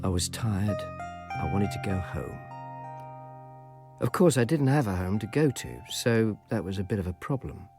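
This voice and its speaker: A man's voice: fairly low pitch, lively intonation, normal speed, over music. An actor playing a weary, sardonic male informer in ancient Rome, narrating with dry understatement in a radio drama.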